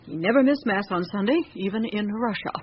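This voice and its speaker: An actress playing a guarded seventy-year-old housekeeper in a radio drama, giving curt, reticent, matter-of-fact answers. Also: female voice, medium pitch, fairly quick, lightly acted. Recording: dull lo-fi radio recording with clear hiss.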